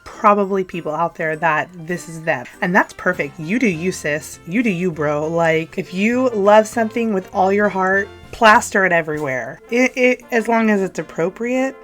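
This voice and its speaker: A young woman from Texas with a light twang talks over a soft music bed. Her voice is hoarse from being sick, and she chats in a friendly, animated way, like she's talking to friends, and now and then she trips over her words. Her pitch sits in the middle for a woman. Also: a little slow. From Texas, USA. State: hoarse from a cold. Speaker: YouTube creator. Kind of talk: casual voice-over commentary on a game build.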